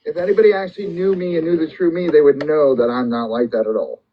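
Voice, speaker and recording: A man's voice: on the high side, fairly even intonation, fast talker, a little hiss or hum. A man hosting a casual live stream, talking emphatically and defensively and often repeating himself. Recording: dull lo-fi stream audio.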